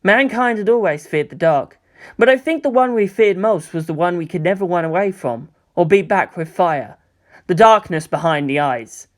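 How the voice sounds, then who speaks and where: A man's voice: high-pitched, lively intonation, normal speed. A man voicing a reluctant, earnest and defiant teenage boy hero in a dramatised fan fiction reading, speaking with intensity.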